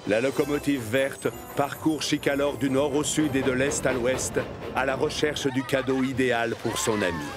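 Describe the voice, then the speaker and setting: A man narrating a children's cartoon in French dub, with an expressive storytelling manner. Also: mid-range voice, moderate pace, music in the background.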